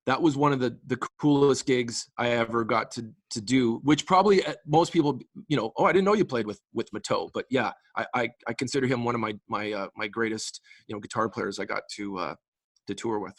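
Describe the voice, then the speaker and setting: A man's voice: medium pitch, brisk, dry recording. Enthusiastic rambling man, late forties. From Canadian prairies. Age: late 40s. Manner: enthusiastic, rambling with frequent restarts. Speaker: guest musician. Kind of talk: casual interview chat.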